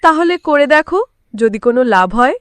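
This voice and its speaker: A woman's voice: high-pitched, expressive, fairly quick, no room sound. A woman voicing a practical village farmer's wife in a homely cartoon style. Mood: chiding, practical. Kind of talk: Bengali cartoon voice acting.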